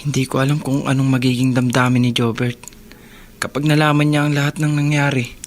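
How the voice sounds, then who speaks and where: A man's voice: mid-range voice, little pitch movement, a little fast, natural voice. A man acting as a guilt-ridden, protective older brother in a Tagalog radio drama, speaking hesitantly and heavy-heartedly. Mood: guilty, sorrowful.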